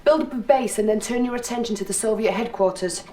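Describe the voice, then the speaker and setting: A woman playing a calm military intelligence officer in a video game, briefing in a formal, precise way. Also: a little high, quick pace, distant mic, lightly acted. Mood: urgent, businesslike. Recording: game audio with music behind.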